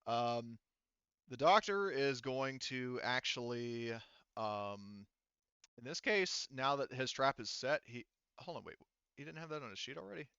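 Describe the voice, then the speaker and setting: A man's voice: mid-range voice, expressive, measured pace, sharp tone, clear, resonant tone, no echo, highs cut off. A man acting as game master, casually narrating a tabletop game and explaining rules while thinking aloud.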